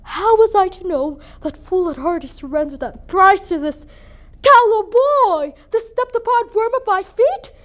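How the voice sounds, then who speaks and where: A woman's voice: high voice, expressive, measured pace, a little mellow. A woman performing a dramatic monologue as a grieving, bitter, proud young noblewoman mother, with an emotional theatrical delivery. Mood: bitter, indignant. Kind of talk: dramatic monologue for speech competition.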